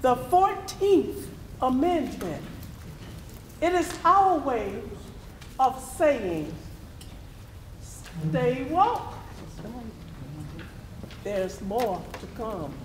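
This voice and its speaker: A woman with a high, very expressive voice narrates a stage piece. Her delivery is solemn and declamatory, with deliberate phrasing. It rings through an echoey hall. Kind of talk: scripted theatrical narration. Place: large hall, live stage performance. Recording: echoey hall, faint noise.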